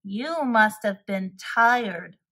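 A woman voicing a male toad, an eager listening friend, with drawn-out, emphatic, childlike delivery. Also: medium pitch, expressive, fairly bright tone, full character voice. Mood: curious.

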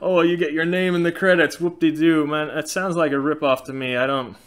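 A man's voice: on the high side, a little fast, smooth. A man in his thirties from Norway with a slight Norwegian accent, hosting a casual Q&A from a small room and talking frankly and animatedly. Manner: frank, animated, gestures a lot. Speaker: channel host. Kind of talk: casual Q&A chat.

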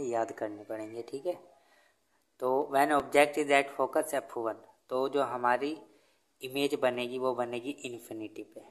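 Teacher quizzing himself through lessons. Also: male voice, mid-range voice. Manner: asks and answers own questions; reads English lines slowly. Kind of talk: Hindi-English tutorial over notebook. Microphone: phone camera over notebook.

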